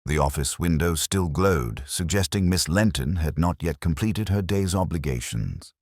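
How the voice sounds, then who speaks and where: A man reading a genteel mystery story as narrator, in a measured, precise, literary manner. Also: deep voice, expressive, a little mellow, no echo, studio quality.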